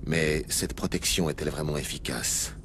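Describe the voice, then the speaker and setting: A man's voice: rumbling low, normal speed, a bit bright, a little hiss or hum. A man voicing a solemn, authoritative alien special agent in a video game. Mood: grave, probing.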